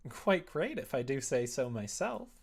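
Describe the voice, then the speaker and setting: A man's voice: mid-range voice, sing-song, a little slow, creaky, breathy edge, full character voice. A man voicing a cheerful, self-assured young idol girl in a visual novel. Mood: excited. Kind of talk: visual novel read aloud.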